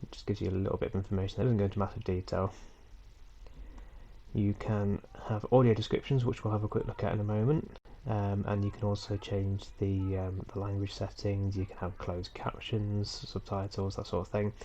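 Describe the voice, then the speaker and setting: Man with a head cold, gravelly low voice. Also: a little fast, muffled recording, a little hiss or hum. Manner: relaxed, rambling, with frequent ums and small self-corrections. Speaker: tutorial host. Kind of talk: casual screen-recorded tutorial. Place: at home. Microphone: computer mic for a screen recording.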